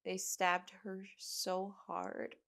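A woman playing a shaken but determined young woman, telling grim events in an audio drama with halting, deliberate delivery. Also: mid-range voice, monotone, creaky, fairly bright tone, full character voice. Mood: grave, haunted. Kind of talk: audio drama narration and dialogue.

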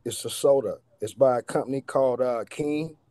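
A middle-aged man chatting casually as a radio guest, halting often in mid-sentence. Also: mid-range voice, dark tone, no echo. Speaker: radio guest, cannabis strain reviewer. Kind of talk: casual chat.

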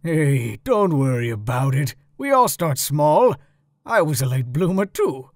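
A man's voice: fairly high pitch, very animated, a little slow, no echo. A man voicing an easygoing, reassuring goat monster father in a comic dub, casual and encouraging.

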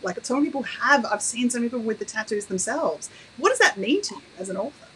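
Woman, bright and forceful tone, gushing host, webcam call with faint hiss. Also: on the high side, animated, quick pace, poor audio. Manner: enthusiastic, upbeat and gushing. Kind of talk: live interview reading fan questions. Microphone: webcam on a video call.

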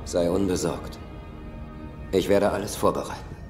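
A man acting in a film dub as a smooth-talking, ruthless villain, persuasive and controlled. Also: low-pitched, moderate pace, close to an everyday voice. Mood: cold, menacing. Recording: echoey space under film music.

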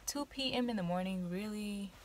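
A woman's voice: mid-range voice, normal speed. A young woman vlogging casually from inside her parked van, chatting and rambling to viewers. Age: young adult. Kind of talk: casual vlog. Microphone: handheld phone camera. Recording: noisy van interior.

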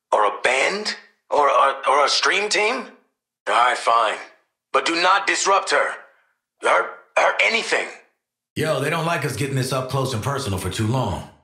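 Video game assassin played by a man: American, cynical and cocky, wry street slang, lively pitch swings, roomy sound. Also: fast talker, few pauses, close to an everyday voice. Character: cynical, cocky male assassin and protective father. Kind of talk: video game character voice lines.

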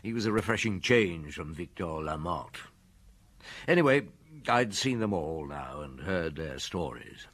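An actor playing a seasoned detective in a radio drama, recounting a case to a friend in a frank, conversational way. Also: male voice, low voice, measured pace, slightly rough, heavily acted. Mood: reflective. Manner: relaxed, reflective, frank.

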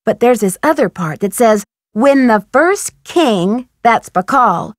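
An actress playing a bright, wry young woman detective in scripted video game dialogue. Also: on the high side, lively intonation, slow, no echo, studio quality. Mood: earnest, puzzled.

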